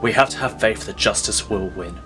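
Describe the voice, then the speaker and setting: A man voicing a calm, steady blind male lawyer who speaks low and measured. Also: over music, close to an everyday voice.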